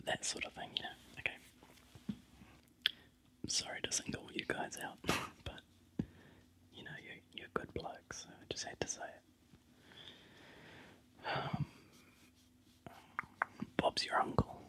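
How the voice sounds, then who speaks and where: A man with a New Zealand accent, whispering softly in ASMR style with frequent ums. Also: slow pace, pauses often.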